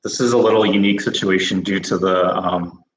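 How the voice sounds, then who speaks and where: An American man hosting a casual live question-and-answer stream, talking in a relaxed way with many fillers and restarts. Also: deep voice, fairly quick, reverberant, poor audio. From United States. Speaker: host of a study-abroad livestream.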